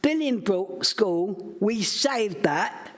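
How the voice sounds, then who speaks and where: A woman addressing a council committee in a loud, insistent, campaigning manner. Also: medium pitch, animated, extremely slow. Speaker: public speaker at council meeting. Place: council chamber.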